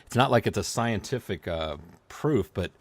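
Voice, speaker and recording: A man's voice: on the low side, animated, moderate pace. A man chatting casually as a guest, rambling and telling stories with many restarts. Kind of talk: casual chat.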